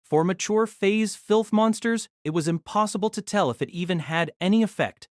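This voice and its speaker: A man's voice: sits high, moderate pace, dry recording, clean studio sound. A man reading scripted narration for a fantasy story. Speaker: narrator of a fantasy audio drama.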